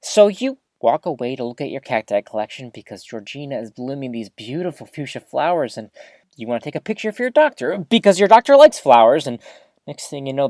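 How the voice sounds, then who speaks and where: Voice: mid-range voice, no echo, clean studio sound, lightly acted. An actor playing a rambling, easily flustered small-town radio host giving a live broadcast in an audio drama, awkward and chatty. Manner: awkward, animated, throat-clearing, chatty.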